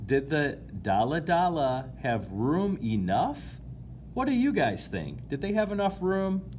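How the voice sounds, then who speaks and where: A man reading a children's picture book aloud in an expressive, playful way, drawing out key words and chatting to his young listeners. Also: on the high side, a little slow, a little hiss or hum. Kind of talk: animated read-aloud to children, with asides to listeners.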